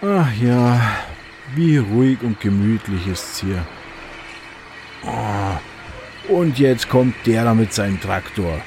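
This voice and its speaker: Actor as an easygoing man, slow and animated. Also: on the low side, background noise. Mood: content, then puzzled. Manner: muttering to himself, exclamatory. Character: easygoing solitary man chatting to his dog. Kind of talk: German children's radio play acting.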